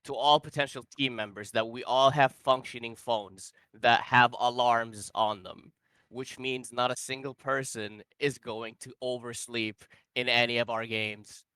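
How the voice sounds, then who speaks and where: A young man, a team captain, pitches his team in a casual, mock-formal, deadpan way, with lots of ums and uhs. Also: measured pace, clean tone, no room sound.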